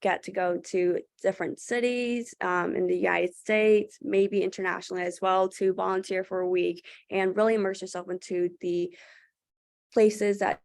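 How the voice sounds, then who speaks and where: A woman's voice: mid-range voice, steady tone, normal speed, creaky, darkish tone. A young woman from Thailand, a student panelist on a webinar, talking earnestly with many fillers and restarts. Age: young adult. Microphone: video call.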